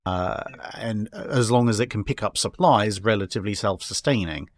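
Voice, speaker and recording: A man's voice: on the low side, moderate pace. A man chatting casually and discursively, with a wry streak and a taste for hypothetical examples. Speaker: guest answering history and starship questions.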